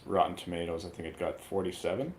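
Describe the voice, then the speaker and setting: A man's voice: low voice, fast, a little mellow, slight background noise. A man chatting casually as a panelist in a movie review discussion.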